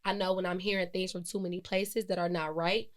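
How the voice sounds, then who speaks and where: A woman's voice: on the low side, flat delivery, a little fast. A woman, hosting a solo podcast in a chatty, reflective way, with many fillers and self-corrections. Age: 20s. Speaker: podcast host. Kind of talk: casual solo podcast monologue.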